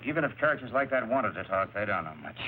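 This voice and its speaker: A man's voice: on the low side, very animated, quick pace. An actor playing a curt, world-weary narcotics investigator in a film noir crime drama, clipped and commanding, hesitating over his questions. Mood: weary, deliberating. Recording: muffled narrow-band old recording, faint noise.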